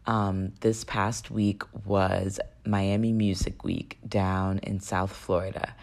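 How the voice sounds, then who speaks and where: Slightly raspy woman hosting a podcast. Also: a bass voice, a little mellow. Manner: chatty, poses questions to listeners. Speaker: podcast host. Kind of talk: solo podcast monologue.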